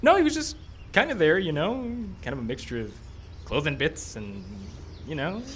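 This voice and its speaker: A man's voice: a little high, wildly swooping pitch, normal speed. A man playing a brash, greedy movie producer in a live radio play, glib and stammering when flustered. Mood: glib, eager. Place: live radio show in a park. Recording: live stage recording with background noise.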